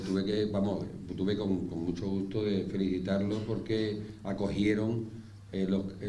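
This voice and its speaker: A man speaking off the cuff as a panelist, haltingly, repeating words and pausing mid-sentence. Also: fairly low pitch, mellow. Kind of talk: extemporaneous panel speech. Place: indoor round-table conference room. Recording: echoey room with faint noise.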